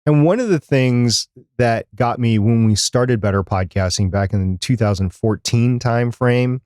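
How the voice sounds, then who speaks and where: A man's voice: medium pitch, moderate pace, a little mellow, clean tone, no echo, clean studio sound. A man speaking as a podcast co-host in a casual, conversational chat.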